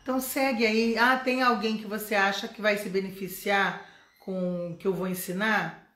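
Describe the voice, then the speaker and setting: A woman's voice: mid-range voice, fairly even intonation, moderate pace, fairly bright tone, reverberant. A Brazilian woman teaching English in a live stream, chatty and encouraging, often checking in with her viewers. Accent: Brazilian Portuguese.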